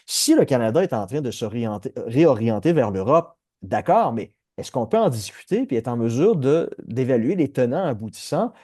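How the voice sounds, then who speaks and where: A man's voice: medium pitch, very animated, moderate pace, hardly any pauses, warm tone, no echo, clean studio sound. A man with a Québécois French accent, hosting a political podcast and talking through his views with many restarts and hesitations. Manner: discursive, frequent restarts and hesitations. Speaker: podcast host. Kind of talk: analytical political discussion.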